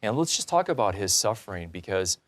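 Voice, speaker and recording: A man's voice: on the low side, big pitch swings, fairly quick. A man hosting a religious talk show, interviewing in an earnest, reflective, conversational way.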